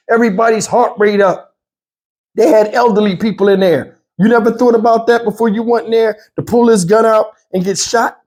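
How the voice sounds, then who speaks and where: Male online commentator, high but soft-edged voice, speaking off the cuff with pleading exasperation. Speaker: YouTube news commentator. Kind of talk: off-the-cuff opinion commentary to viewers.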